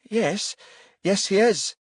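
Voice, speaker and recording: A man's voice: high voice, slow, no room sound, studio quality, pitched above the performer's own voice. A man voicing an anxious, stern mother in her early fifties for an audiobook, fussing over her son. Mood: nervous, hesitant. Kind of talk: audiobook character dialogue.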